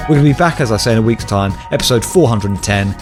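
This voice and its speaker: A man's voice: fairly low pitch, expressive, quick pace, loud music behind the voice. A man co-hosting a comic podcast, teasing his partner and pushing listeners for reviews in a wry manner. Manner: wry, teasing, assertive sign-off. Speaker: podcast co-host. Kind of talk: comedic podcast chat.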